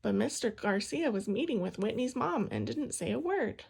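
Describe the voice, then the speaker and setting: A woman reading a children's picture book aloud in an expressive storytelling style. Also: fairly high pitch, moderate pace, gravelly, slightly rough. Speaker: storybook reader.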